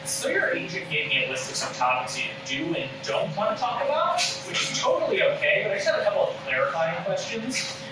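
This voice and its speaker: In an echoey, noisy room, a man puts on a gushing tabloid reporter. The voice is high and bouncy, falsely polite and condescending, reading comedy at a table read. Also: very animated, fairly quick, recorded from a distance. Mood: breezy.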